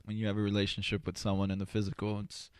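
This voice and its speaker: A man's voice: lowish voice, monotone, fast talker, a little mellow, smooth, no room sound. A man answering as a podcast guest in a casual, rambling, hesitant way with lots of "you know". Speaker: podcast guest, spoken word poet. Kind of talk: casual conversational interview answers. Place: indoor studio.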